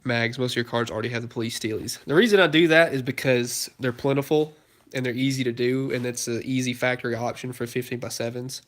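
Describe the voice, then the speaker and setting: A man's voice: mid-range voice, quick pace, fairly bright tone. Young guy, congested, rambling live-stream host filming on his phone in a garage. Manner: rambling, lots of fillers and restarts. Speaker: car YouTuber hosting a live stream. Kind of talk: casual live stream, answering chat questions. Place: cluttered home garage with a project car. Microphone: phone held while filming.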